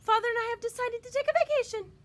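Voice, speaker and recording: Storybook mother played by a female reader: high-pitched, breezy, forgetful, gushing and singsong. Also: fast. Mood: cheerful, gushing.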